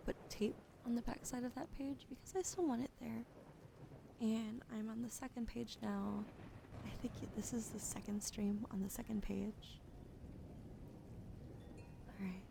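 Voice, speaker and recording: A woman streaming ASMR, whispering softly to her chat and slowly spelling out follower names, now and then swearing. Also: fairly high pitch, vocal fry, noisy background. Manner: slow and gentle, swears and laughs in between. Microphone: studio mic.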